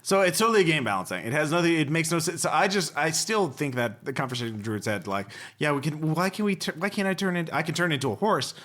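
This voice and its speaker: A man's voice: fairly high pitch, animated, quick pace, hardly any pauses, a bit bright. A man hosting a casual gaming podcast, chatting in an argumentative, joking way. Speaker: podcast host.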